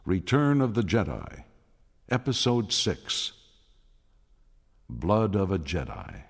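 A man's voice: low-pitched, wildly swooping pitch, slow pace, gravelly, breathy edge. A man delivering dramatic scripted narration as the narrator of a radio drama. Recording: music behind the voice.

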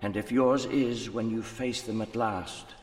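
An actor playing a grave old mentor's spirit in a radio drama, speaking in a measured, formal, admonishing way with ghostly reverb. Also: male voice, on the low side, steady tone. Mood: stern warning. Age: older. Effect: long ghostly reverb. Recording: long reverb, music behind.

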